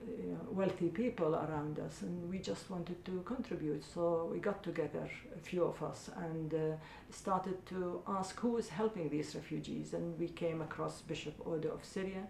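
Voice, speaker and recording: A middle-aged woman from Iraq speaks in an earnest, halting way, her talk full of small hesitations. Her voice is low, mellow and gravelly. The room is echoey, and a faint rumble sits underneath. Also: fairly even intonation. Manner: hesitant, many uh fillers, earnest. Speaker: charity founder. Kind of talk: interview answers.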